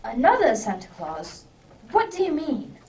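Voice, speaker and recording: A woman playing a brisk, commanding, inquisitive male detective in an amateur radio drama. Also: high voice, huge pitch swings, a little mellow, far from the mic, slight background noise, exaggerated voice, poor audio. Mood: urgent.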